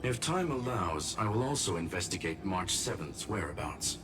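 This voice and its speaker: A man playing a courteous, grave, analytical robot scholar in a video game story, speaking in formal, measured statements. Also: low-pitched, sing-song, noisy background. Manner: formal, clipped announcements, measured. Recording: loud music behind the voice.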